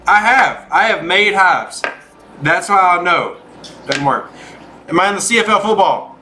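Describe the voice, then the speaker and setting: A man's voice: high-pitched, animated, a little slow, pushed and bright, faint music behind. A man hosting a game livestream, chatting casually and informally as he answers viewers by name.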